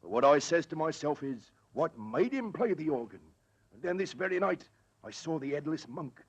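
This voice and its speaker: Husky old Cornish groom. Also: male voice, fairly high pitch, sing-song, normal speed. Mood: ominous, insistent. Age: older. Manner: rustic dialect, solemn storytelling. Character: superstitious old Cornish groom, deferential but insistent. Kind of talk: 1940s radio acting. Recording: dull old radio recording.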